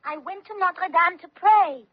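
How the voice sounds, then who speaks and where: A woman's voice: extremely high pitch, measured pace, no echo. An actress playing a devout, steadfast teenage farm girl held prisoner, earnest and resolute, in a radio drama. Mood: earnest, defiant. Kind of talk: old-time radio drama acting. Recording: dull, dry old radio recording.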